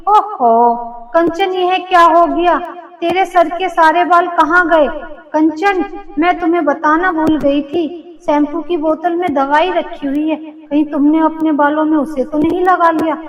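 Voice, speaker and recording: A woman's voice: very high-pitched, steady tone, unhurried, faint music behind. A woman voicing young female characters in a cartoon fairy tale, in a theatrical, exclamatory way. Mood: upset. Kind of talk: Hindi cartoon story voice acting. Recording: echoey, distant-sounding voice track.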